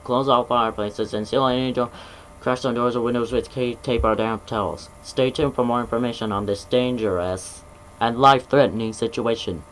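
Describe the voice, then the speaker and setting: A man reading out a mock emergency alert broadcast in a measured, official tone, with stumbles and restarts. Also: mid-range voice, fairly even intonation, fairly bright tone, a little hiss or hum, rough recording.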